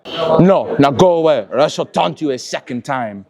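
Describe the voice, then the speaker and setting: An actor playing an arrogant French castle guard with an exaggerated French accent, mocking and gleefully insulting. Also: male voice, on the high side, lively intonation, normal speed, music in the background. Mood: taunting. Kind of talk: comic film scene.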